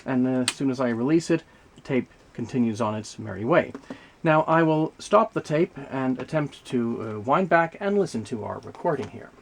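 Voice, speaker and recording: A man giving an unscripted, hands-on technical demonstration as a video host, explaining things in a measured, methodical way. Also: medium pitch, lively intonation. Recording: clear background noise, mechanical clicks.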